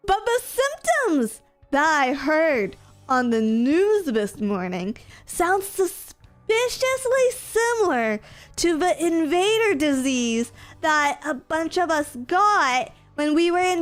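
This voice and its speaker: A sniffly young woman chats casually with her audience, slipping in fillers and little laughs. She speaks slowly in a somewhat high voice. Faint music plays behind her. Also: very animated, a bit bright. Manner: chatty, giggly, rambling with many fillers. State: sniffly from allergies. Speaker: streamer. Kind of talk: casual chat with viewers.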